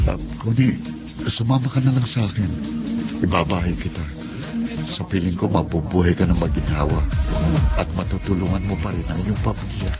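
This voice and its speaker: Low-voiced, smitten gentleman suitor. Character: smitten, gentle male suitor, earnest and respectful. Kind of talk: Filipino radio drama acting. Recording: dull audio under loud music.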